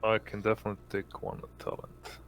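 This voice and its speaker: A man chatting casually as a tabletop game player, speaking in a halting way. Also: fairly low pitch, monotone, noisy background. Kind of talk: casual chat.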